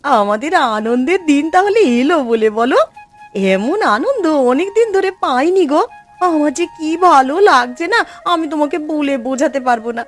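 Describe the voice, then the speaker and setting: A woman plays a high-voiced spiteful village wife in a cartoon, gleeful and scheming as she savors a neighbor's bad luck. Faint music runs under her lines. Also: expressive, normal speed. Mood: gloating. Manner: gleeful, scheming, relishing others' misfortune. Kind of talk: cartoon voice acting.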